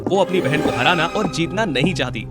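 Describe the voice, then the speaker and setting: A male narrator telling a fairy tale in a fairly high voice that swings up and down expressively. Loud music plays underneath his scripted storytelling. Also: animated, fairly quick.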